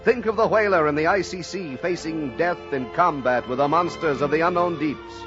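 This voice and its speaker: An actor playing a solemn storyteller, narrating a seafaring radio drama with rhetorical, literary declamation. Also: male voice, a little high, moderate pace, lightly acted. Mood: solemn, urgent. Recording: dull vintage radio recording, music behind.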